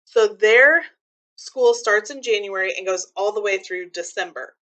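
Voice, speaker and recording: A woman reading a children's book aloud like a teacher, pausing to explain things simply and clearly to young listeners. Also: mid-range voice, bright, forceful tone, poor audio.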